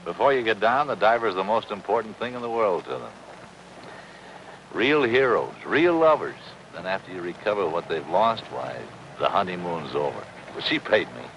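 Cynical middle-aged diver, deep voice, swooping wisecrack delivery, mercenary, 1950s TV drama. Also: male voice, big pitch swings, normal speed, a bit bright, a little hiss or hum, exaggerated voice, rough recording. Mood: sardonic. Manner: sardonic, wisecracking. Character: cynical, mercenary, world-weary male deep-sea diver. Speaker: actor playing a salvage diver. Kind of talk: 1950s television crime drama acting.

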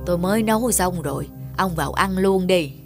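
A woman's voice: on the low side, a little fast, lightly acted. Woman as a caring old cartoon wife. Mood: reassuring. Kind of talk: cartoon fairy-tale acting. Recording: music under the voice.

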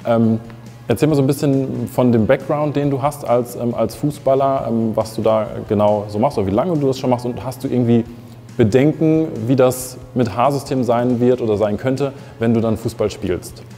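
A man's voice: medium pitch, moderate pace, mellow, big-room sound, faint music behind. A young adult man hosting a talk show, asking questions casually with some fillers. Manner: interviewing, hesitant with fillers. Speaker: host. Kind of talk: casual interview chat. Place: photo studio with grey backdrop.

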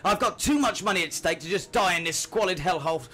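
A man's voice: high voice, lively intonation, fast talker, bright, forceful tone, slightly rough, soft background music, heavily acted. A man playing an arrogant, selfish rich husband in a radio drama, pompous and domineering. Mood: furious.

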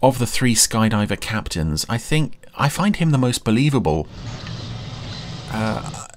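A man giving casual, musing commentary while watching a TV episode, often pausing mid-thought. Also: mid-range voice, sing-song, slight background noise. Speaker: podcast commentator.

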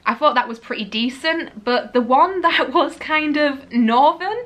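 A young woman from Yorkshire with a Lincolnshire English accent, chatting casually about books in her living room, animated and laughing often. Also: high voice, a little slow. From Yorkshire, England. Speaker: book vlogger.

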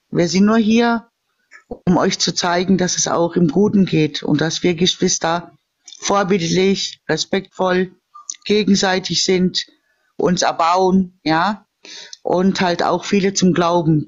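High-voiced man, insistent and preachy. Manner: insistent, preachy, tags sentences with "ne?". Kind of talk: heated casual debate. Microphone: phone in a live video call. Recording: lo-fi phone stream audio.

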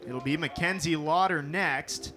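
A young man calling a televised softball game as play-by-play announcer, with a crisp broadcast delivery that lifts for big plays. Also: fairly high pitch, unhurried, faint music behind. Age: young adult. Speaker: sports reporter and play-by-play announcer.

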